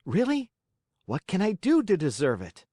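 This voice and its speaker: A man voicing a whiny, eager marionette boy in a storybook, with a high childlike voice and pleading, dramatic delivery. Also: huge pitch swings, moderate pace, mellow, slightly rough, no echo, clean studio sound, heavily acted. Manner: pleading, emphatic, exclaiming. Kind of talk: audiobook character acting.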